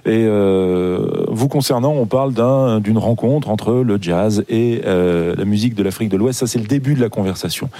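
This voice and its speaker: A male radio host in a studio interview, talking in an easy, chatty way with plenty of small hesitations. His voice is mellow and darkish, recorded close and dry with no room around it. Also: fairly low pitch, moderate pace, hardly any pauses, dry recording.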